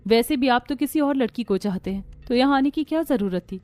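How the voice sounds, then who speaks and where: Woman playing proud young daughter-in-law, high voice, earnest, emotional, family story, music bed. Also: animated, brisk, darkish tone, dry recording, close to an everyday voice. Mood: hurt, reproachful. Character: hurt, self-respecting young daughter-in-law.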